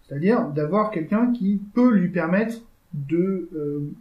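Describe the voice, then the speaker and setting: A man giving measured, explanatory political analysis in a casual discussion. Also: sits high, animated, muffled recording. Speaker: co-host. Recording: echoey room.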